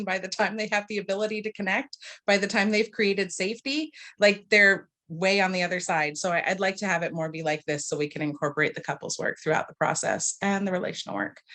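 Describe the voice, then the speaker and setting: A woman from Massachusetts, a marriage and family therapist, explains her work on a video call in a clear, plain American voice. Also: medium pitch, steady tone, fast talker, fairly bright tone. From Massachusetts, United States. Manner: clear, explanatory, light laughs. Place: home in Dallas, Texas.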